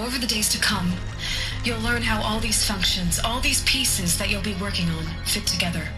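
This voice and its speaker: An actress playing a visionary woman scientist in a video game, presenting in a measured, grave, persuasive way. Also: mid-range voice, close to an everyday voice. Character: visionary woman scientist leading a project to revive life.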